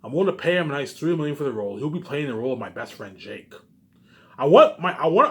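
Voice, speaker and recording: Fast-talking man, boastful and rambling. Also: medium pitch, a bit bright. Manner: repetitive, often tacks on "obviously" as a tag; boastful, emphatic. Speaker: amateur filmmaker pitching movie ideas. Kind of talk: rambling off-the-cuff monologue.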